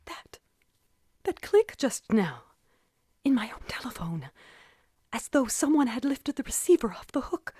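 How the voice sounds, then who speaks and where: A woman's voice: fairly high pitch, very animated, measured pace, long pauses, dark tone, husky, dry recording, studio quality, full character voice. A woman playing a nervous, demanding bedridden wife in an old-time radio thriller, fretful and dramatic. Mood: frightened, hushed. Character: nervous, imperious invalid wife, bedridden, increasingly terrified.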